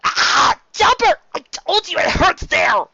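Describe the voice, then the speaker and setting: A woman's voice: very high-pitched, big pitch swings, slow, vocal fry, bright, forceful tone, no echo, lo-fi sound, pitched above the performer's own voice, full character voice. A woman voicing an ailing, stubborn young male pirate captain in a story reading, plaintive and strained. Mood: yelling in pain.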